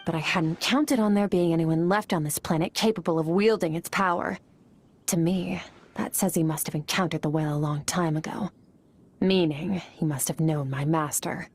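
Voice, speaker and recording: A woman voicing a composed, powerful female warrior in a video game, speaking calmly and with detachment. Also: deep voice. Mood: cool. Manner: calm, measured, detached.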